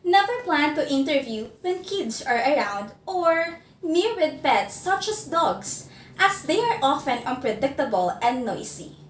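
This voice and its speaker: A woman presenting a scripted instructional video, giving phone interview tips in a measured, clear delivery. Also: sits high, big pitch swings, reverberant, distant mic, slight background noise.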